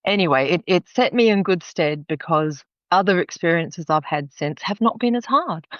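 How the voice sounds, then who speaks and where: A woman's voice: lowish voice, animated, normal speed, a bit bright, dry recording, highs cut off. A woman in her fifties with an Australian accent, a podcast guest telling stories chattily and often laughing. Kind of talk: podcast interview.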